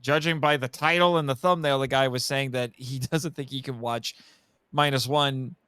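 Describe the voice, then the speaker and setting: A man's voice: medium pitch, fairly even intonation, moderate pace, sharp tone, clean tone, no echo, clean studio sound. A man in a casual film discussion, speaking enthusiastically and repeating phrases for emphasis like an expert guest. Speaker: podcast guest and monster movie expert.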